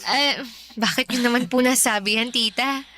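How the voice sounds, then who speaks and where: An actress playing a polite, dutiful young student in a radio drama, respectful and sweet-mannered, with a Filipino accent. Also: female voice, on the high side, fairly even intonation, normal speed, sharp tone. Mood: earnest, respectful. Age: young adult.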